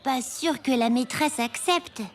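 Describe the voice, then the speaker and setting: Une écolière moqueuse, voix aiguë. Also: female voice, high voice, unhurried, slight background noise. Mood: mocking. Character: snide, mocking schoolgirl in a children's cartoon. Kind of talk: French children's cartoon dubbing.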